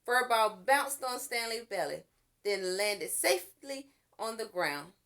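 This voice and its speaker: A woman's voice: on the high side, unhurried. A woman reading a children's story aloud expressively, as if to young listeners. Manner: expressive, with character voices and asides to listeners.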